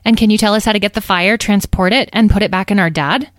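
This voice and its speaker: A woman's voice: mid-range voice, fast, no echo, studio quality, voice pushed up, natural voice. A woman voicing an eager, confident young female dragon in a storybook, pleading and youthful.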